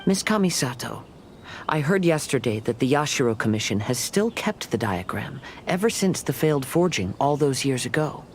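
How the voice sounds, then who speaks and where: An actor playing a calm, soft-spoken young wandering samurai in a video game, speaking in a measured, polite and formal way. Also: male voice, mid-range voice, a little hiss or hum. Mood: polite request. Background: game music.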